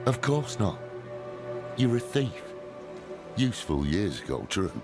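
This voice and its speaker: An actor playing a gruff, cynical old demon hunter with a blunt, weary manner in a video game. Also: male voice, sing-song, a little slow. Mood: sardonic, stern. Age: older. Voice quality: deep, gravelly. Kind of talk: dramatic video game acting. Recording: dramatic music under the voice.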